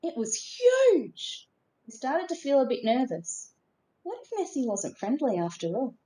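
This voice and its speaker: A woman narrating a children's storybook expressively, in a measured way with dramatic emphasis. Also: high voice, huge pitch swings, warm tone. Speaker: storybook reader.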